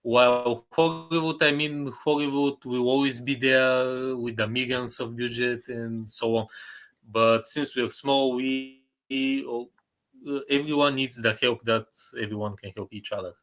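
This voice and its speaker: A man's voice: medium pitch, steady tone, unhurried, a bit bright. A man with a Bulgarian accent chatting casually as an interview guest, often repeating short phrases for emphasis. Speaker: guest, indie filmmaker.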